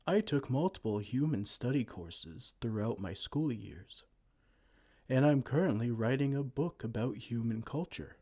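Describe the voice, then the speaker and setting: A man voicing a gentle, kind male giant who talks softly and soothingly in an ASMR roleplay. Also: medium pitch, husky, heavily acted. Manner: soft, soothing, comforting.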